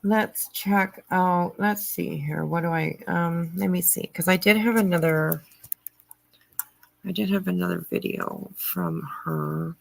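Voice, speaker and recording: A middle-aged woman hosting a livestream, chatting casually and answering viewer comments in a halting way with frequent pauses. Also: on the low side, steady tone, creaky. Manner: halting, pausing often mid-sentence. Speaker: livestream host.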